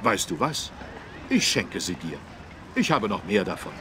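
A man's voice: on the low side, big pitch swings, measured pace, bright, forceful tone, slight background noise, heavily acted. A man playing a friendly, helpful policeman who explains things simply to small children in a German cartoon game. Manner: didactic, clearly phrased for small children. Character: friendly, helpful adult male policeman teaching children road safety.

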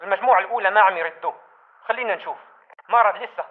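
A man's voice: high voice, racing. A man playing an anxious militant with a Levantine Arabic accent, reporting through a radio filter in a video game. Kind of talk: video game combat chatter. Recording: narrow, tinny radio transmission.

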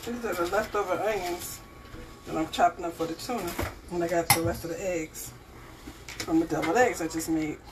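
A woman's voice: lowish voice, fairly even intonation, a little fast. A middle-aged woman co-hosting a home cooking stream, dropping brief, chatty asides while she preps food. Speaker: co-host cook. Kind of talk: casual cooking chat. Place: home kitchen, indoors. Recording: distant voice, loud frying noise.

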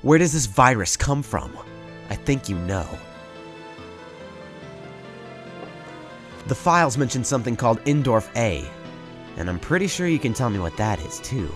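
A man's voice: mid-range voice, big pitch swings, brisk, music in the background, lightly acted. A man playing a stern, brooding doctor in an anime dub, intense and accusatory in his delivery. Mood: cold, demanding. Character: stern, brooding male doctor, indignant and morally driven. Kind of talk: dramatic anime dub acting.

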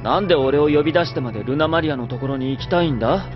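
An actor playing a stern, exasperated young military officer in a Japanese anime audio drama. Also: male voice, fairly high pitch, very fast, lightly acted. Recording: dull lo-fi audio under music.

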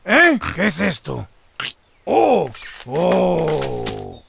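An actor voicing a bossy, greedy, stubborn peasant in an animated folk tale, gravelly and blustering. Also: male voice, on the high side, extremely slow, slight background noise, full character voice. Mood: stubborn, gloating. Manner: blustering, insistent.

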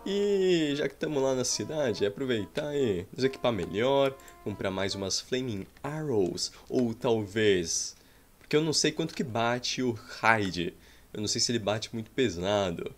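A man's voice: mid-range voice, expressive, a little slow. A Brazilian man in his thirties giving jokey commentary over his own gameplay from a small room at home, exclaiming at what happens on screen. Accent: Brazilian Portuguese. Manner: jokey, exclaims and sighs at game events. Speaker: gameplay streamer. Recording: faint game music behind.

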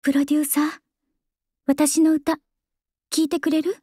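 An actress voicing a young female idol singer in a game, calm and composed, soft-spoken and earnest. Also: high voice, moderate pace, dry recording, clean studio sound, natural voice. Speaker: game character voice. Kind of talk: game voice lines. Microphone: close studio mic, dry.

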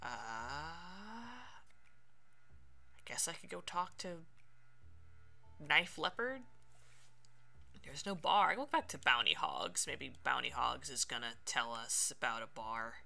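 A woman's voice: a bass voice, animated, takes it slowly, gravelly, long pauses, bright, forceful tone. A woman doing casual Let's Play commentary, chatting and thinking aloud as she plays. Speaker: streamer playing a video game.

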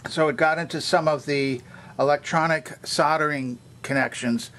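A man's voice: fairly high pitch, fairly even intonation, normal speed, a bit bright. An older man giving a methodical, hands-on explanatory tour as a repairer demonstrating equipment. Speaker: vintage electronics repairer and demonstrator.

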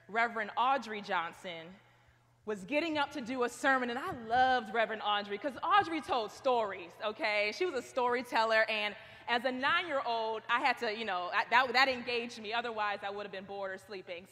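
A woman's voice: fairly high pitch, sharp tone. A young woman preaching a sermon, telling stories animatedly and acting out what she says. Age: young adult. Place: large stone chapel. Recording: long hall reverb.

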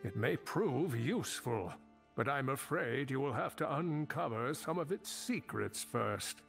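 An older actor playing a grave, weary old scholar and mentor in a video game, speaking in a solemn, halting way. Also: male voice, mid-range voice, expressive, creaky, over music. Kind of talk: dramatic video game dialogue.

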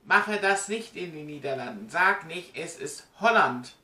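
Bright-voiced man in a roomy recording. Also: a little high, expressive, measured pace. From Germany. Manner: chatty, emphatic, addresses viewers directly. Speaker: vlogger. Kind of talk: casual explainer to camera. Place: small indoor room at home. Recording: roomy, distant webcam sound.

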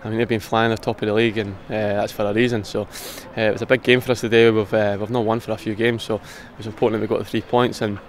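A young Scottish man, a footballer giving a casual post-match interview outdoors by the pitch, saying "aye" in a quick, relaxed way. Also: slight background noise. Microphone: handheld interview mic, close camera.

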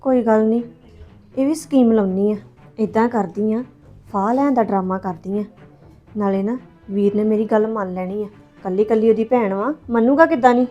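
A woman's voice: mid-range voice, normal speed, dark tone, lo-fi sound, faint music behind, close to an everyday voice. A young woman playing a scheming sister who fakes despair to win her brother over, with pleading, wailing lines. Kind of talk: Punjabi family drama acting.

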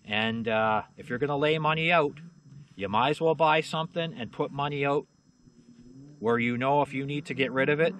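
A man's voice: mid-range voice, a bit bright, poor audio. Man, middle-aged, folksy, casual walkaround vlog, outdoors, faint outdoor noise. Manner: folksy, plain-spoken, lots of fillers like "you know" and "uh". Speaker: channel host showing snowmobiles. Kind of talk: casual rambling walkaround talk. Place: outdoors in a snowy yard.

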